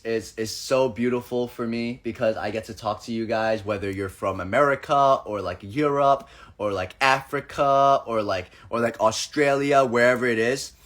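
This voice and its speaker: A man's voice: mid-range voice, fairly bright tone. A young man hosting a casual livestream chat, playful and acting out the people in his stories. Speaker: livestream host.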